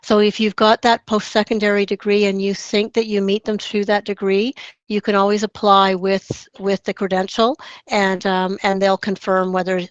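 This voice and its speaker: Even-toned woman advisor on a dull call. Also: medium pitch, dry recording. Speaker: admissions advisor. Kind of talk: explaining requirements in an online meeting. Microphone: online meeting call. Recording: dull lo-fi online call audio.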